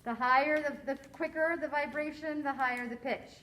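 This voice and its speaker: A woman teaching a science lesson to a class, quizzing her students in a slow, deliberate way. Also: high-pitched, fairly even intonation, bright, forceful tone, low-quality recording.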